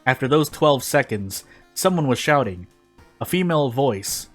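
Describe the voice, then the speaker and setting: A man reads narrative prose aloud in an informal way, at an average male pitch. He sometimes trips on words and goes back over them, with music playing faintly behind him. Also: lively intonation, normal speed, a bit bright. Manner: stumbles over words and rereads phrases. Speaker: fan fiction reader on a gaming video. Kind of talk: informal read-aloud of a story.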